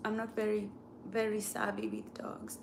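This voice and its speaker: A woman's voice: medium pitch, completely flat delivery, normal speed, gravelly, a little mellow, slight background noise. A woman from East Africa chatting live with viewers, laughing often and teasing their guesses, with a sore throat. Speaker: livestream host. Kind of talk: casual live chat with viewers.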